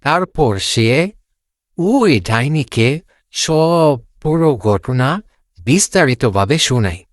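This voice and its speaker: Casting: male fairy-tale narrator, unhurried, animated intonation, simple repetitive phrasing, very dry sound. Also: medium pitch, no echo. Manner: repeats phrases, simple unpolished storytelling. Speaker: storyteller.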